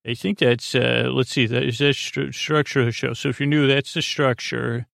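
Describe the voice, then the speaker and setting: A man hosts a bedtime podcast in a middling-pitched voice full of creak. He meanders, halts and repeats himself in a whimsical, self-deprecating way. The sound is dry, with no room around it. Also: flat delivery, moderate pace, fairly bright tone, dry recording. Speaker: podcast host. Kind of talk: rambling, unscripted bedtime podcast monologue.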